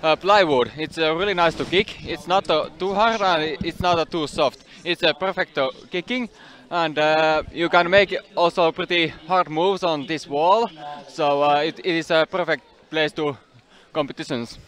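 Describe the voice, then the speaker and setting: A man with a Finnish accent answering interview questions in halting, broken English with many uhs. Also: fairly high pitch, a bit bright. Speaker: athlete interviewee. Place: outdoors at a climbing event.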